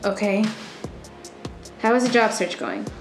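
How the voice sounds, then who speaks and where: A woman's voice: mid-range voice, very animated, measured pace. An actress playing a stressed young female student in a radio thriller, guarded, moody and intense, with a blunt, dramatic manner. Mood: tense, blunt. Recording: echoey room, prominent music underneath.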